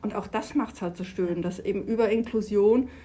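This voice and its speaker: A woman's voice: mid-range voice, moderate pace, dark tone, husky. A middle-aged woman from Switzerland, talking enthusiastically in an interview about her work in sport. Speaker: sports organization official. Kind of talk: conversational interview.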